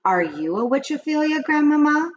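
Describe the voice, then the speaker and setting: A woman's voice: high voice, sing-song, moderate pace, lo-fi sound, a little hiss or hum, pitched above the performer's own voice. A woman voicing an anxious, curious young grandchild in a children's storybook, asking questions with wide-eyed eagerness. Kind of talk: children's storybook read-aloud.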